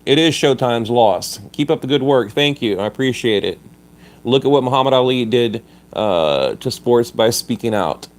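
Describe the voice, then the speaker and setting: A man hosting a livestream from his phone, stuffed up and slightly hoarse. He talks bluntly and combatively, reading viewer comments and stumbling over restarts as he goes. His pitch sits in an ordinary middle range. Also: animated, moderate pace. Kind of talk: casual livestream rant.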